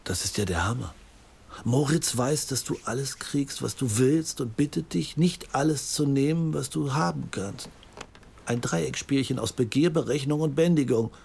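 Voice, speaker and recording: An actor playing an intrigued, eloquent questioner in an audio drama, savouring words and probing. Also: male voice, medium pitch, normal speed, vocal fry, darkish tone, slight background noise, close to an everyday voice. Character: intrigued, eloquent male listener, theatrical and probing. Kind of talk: naturalistic German audio drama acting.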